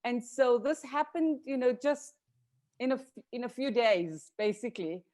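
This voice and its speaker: A woman's voice: a little high, animated, moderate pace, darkish tone. A woman from South Africa answering questions on a video call in an earnest, grateful way, with frequent restarts. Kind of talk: reflective panel discussion.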